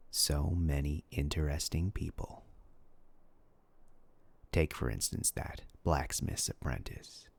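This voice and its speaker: A man voicing a sinister, arrogant demon in a dark roleplay monologue, taunting and seductively menacing, with a husky, growling voice. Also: rumbling low, full character voice. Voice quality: gravelly, husky, breathy growl. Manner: slow, taunting, theatrical.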